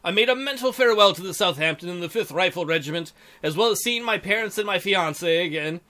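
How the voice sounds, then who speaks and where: A man's voice: high voice, lively intonation, fast, sharp tone. A man hosting a game playthrough, reading the story aloud and adding goofy, crude joking asides. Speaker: gameplay host reading a visual novel aloud.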